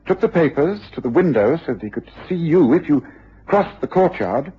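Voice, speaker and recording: An observant detective in a radio drama, absorbed and probing, musing as he goes. The voice is markedly husky and rises and falls freely. The recording is dulled, like an old broadcast. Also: a little high, sing-song, normal speed, highs cut off, heavily acted. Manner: musing hesitations, thinking aloud while examining.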